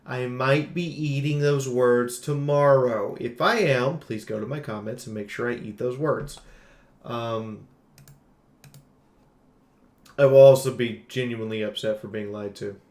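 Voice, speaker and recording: A man's voice: medium pitch, fairly even intonation, moderate pace, darkish tone, echoey room. A man giving a casual game review in an emphatic, exclamatory, jokey way, as a streamer talking through notes and ad-libs. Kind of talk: casual scripted game review with ad-libbed commentary.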